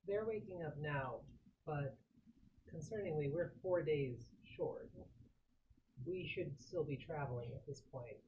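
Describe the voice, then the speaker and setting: A man's voice: fairly high pitch, a little slow, lo-fi sound. A man playing a tabletop roleplaying game, talking casually in character. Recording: noisy room with clicks.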